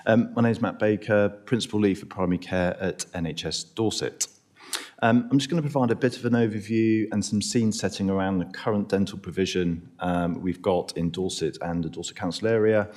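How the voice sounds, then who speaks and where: A man, croaky from a cold, presents to a council committee. He speaks in a fairly low voice and hesitates often on ums. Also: fairly quick, a little mellow. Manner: apologetic, hesitant, with frequent ums. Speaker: NHS primary care lead presenting to a council committee.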